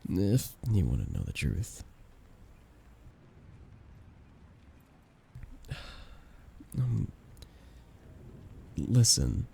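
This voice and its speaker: A man's voice: low-pitched, gravelly, warm tone, slight background noise, exaggerated voice. A man playing a shy young guy confessing love to a best friend in an intimate ASMR romance roleplay, tender and hesitant. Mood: nervous, hesitant.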